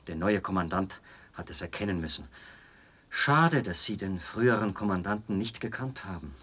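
An actor in a radio play playing a devoted, zealous penal colony officer who explains things in a talkative, pedantic way. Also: male voice, lowish voice, bright, forceful tone, husky, heavily acted. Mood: proud, nostalgic. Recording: noisy binaural radio play recording with clicks.

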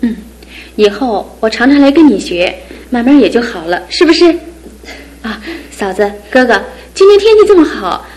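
Playful young sister, radio drama, bright and teasing, fairly high voice. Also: female voice, very animated, normal speed, low-quality recording. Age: young adult. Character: lively young woman, groom's younger sister. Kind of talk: 1970s radio drama acting.

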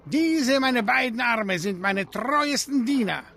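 A high-voiced boastful circus boss. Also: male voice, expressive, slow, sharp tone, full character voice. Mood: gruff. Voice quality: theatrical barker delivery. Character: boastful male circus director, showman. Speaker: circus director. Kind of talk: radio play acting.

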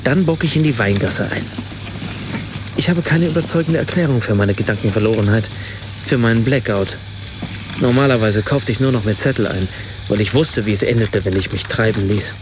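A man's voice: fairly low pitch, fairly quick, noisy background, close to an everyday voice. An actor playing a reflective German writer and father, narrating his own everyday story in a scripted radio play. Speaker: first-person narrator.

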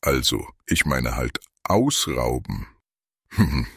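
Man playing a very deep gravelly scheming burglar. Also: very animated, slow pace, fairly bright tone, dry recording, clean studio sound, heavily acted. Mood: smug, conspiratorial. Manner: pompous, polite, self-satisfied. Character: smug, scheming male burglar plotting on the telephone. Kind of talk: 1980s trash comedy radio play.

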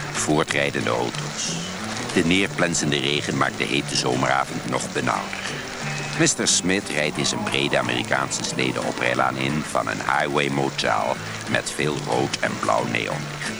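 A man's voice: rumbling low, measured pace, creaky, loud music behind the voice. A man narrating a scripted Dutch radio play, setting the scene in a dramatic way.

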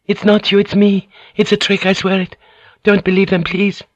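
A man's voice: fairly high pitch, steady tone, brisk, no echo, muffled recording, voice pushed up, lightly acted. A man voicing a desperate young noblewoman in an audiobook, pleading frantically in a raised, strained voice. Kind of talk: audiobook character voice.

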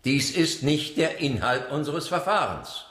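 An actor playing an eloquent, indignant defence lawyer in a period radio drama, declaiming rhetorically. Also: male voice, fairly high pitch, sing-song, measured pace, fairly bright tone. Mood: indignant questioning. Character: impassioned, eloquent male defence lawyer in 17th-century Paris. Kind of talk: radio drama courtroom oratory. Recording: echoey courtroom-like room.

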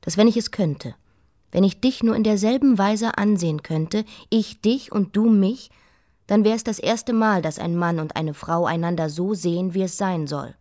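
A woman's voice: medium pitch, normal speed, warm tone, natural voice. An actress playing an imperious, restless young queen in a radio play, speaking with commanding intensity. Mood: wondering, intimate. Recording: long reverberant hall.

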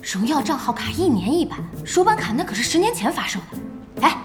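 An actress in a Chinese audio drama playing a brisk, bossy young woman who runs an internet cafe, speaking directly. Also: sits high, very animated. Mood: chatty, probing. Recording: music and crowd sounds behind.

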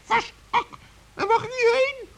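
A man's voice: extremely high pitch, fairly even intonation, slow pace, gravelly, a bit bright, pitched above the performer's own voice, heavily acted. A man voicing a helpful goose in a children's radio play, with an exclamatory, honking delivery. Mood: helpful, excited. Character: helpful goose giving travel directions.

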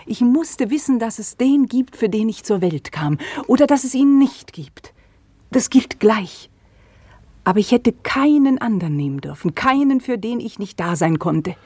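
An actress playing a young widow who speaks slowly and often in a whisper, in a radio play. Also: female voice, a little high, animated, husky, no echo. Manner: slow, hushed, often whispering. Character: Ines, young widow, estranged, bitter. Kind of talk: radio drama acting.

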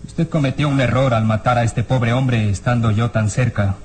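An actor playing a stern, suspicious armed police inspector in an old radio serial, barking orders. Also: male voice, medium pitch, fairly even intonation, moderate pace, a little mellow, close to an everyday voice. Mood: accusing.

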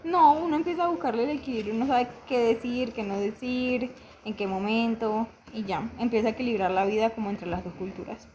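A young woman from Venezuela, answering interview questions about her life in a reflective, halting way. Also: a little high, darkish tone, slight background noise, poor audio. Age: young adult. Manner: reflective, halting, with frequent restarts. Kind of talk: personal testimony interview.

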